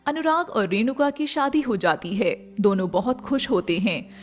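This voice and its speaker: Woman storyteller, high voice, scripted moral tale narration, faint music behind. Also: moderate pace.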